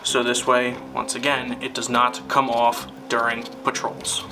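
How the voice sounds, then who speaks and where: A man narrating a scripted instructional film in a deliberate, formal, step-by-step manner. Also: mid-range voice, completely flat delivery, distant mic, over music.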